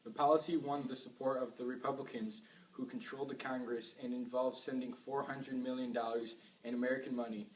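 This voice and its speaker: A man's voice: medium pitch, level tone, fairly quick. A young man reading a scripted report as co-presenter of an amateur newscast. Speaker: news co-presenter in a history project. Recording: echoey, distant mic, faint noise.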